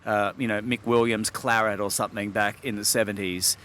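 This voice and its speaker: Low-voiced middle-aged guy from sydney, wordy and articulate, lots of ums, faint hiss behind. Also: fairly even intonation, brisk, a bit bright. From Sydney, Australia. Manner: articulate, wordy, with hesitant fillers and small laughs. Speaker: wine expert guest. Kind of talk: relaxed interview talk.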